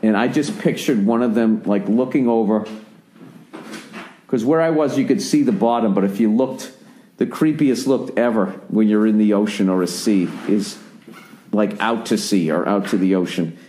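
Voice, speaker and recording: A profane, rambling American man in his forties hosts a podcast, swearing through a long story. His voice sits dark and mellow. The recording sounds roomy and echoey. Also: fairly low pitch, moderate pace, slight background noise. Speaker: podcast host. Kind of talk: casual podcast chat.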